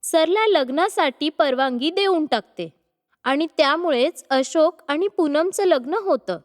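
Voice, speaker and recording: A woman's voice: sits high, animated, measured pace. A woman reading scripted narration for a cartoon story as the storyteller. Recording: long hall-like reverb.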